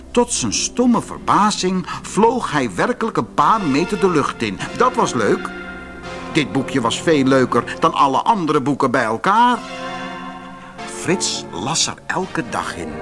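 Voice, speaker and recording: A man reading a fairy tale for children in an expressive narrating style. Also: on the high side.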